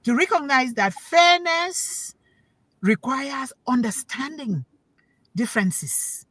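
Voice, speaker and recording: A woman's voice: high voice, lively intonation, takes it slowly, a bit bright, no echo, rough recording. Emphatic woman giving a preachy talk. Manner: emphatic, preachy, repeats key words for stress; asks the listeners questions. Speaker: panel speaker on an online talk show. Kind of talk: motivational talk.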